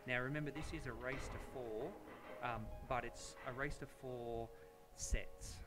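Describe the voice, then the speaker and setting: Australian man, pool commentator, headset mic, chatty, loud music behind. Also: mid-range voice, fairly even intonation, a little slow. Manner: chatty, explaining shots and setup. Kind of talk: live sports commentary.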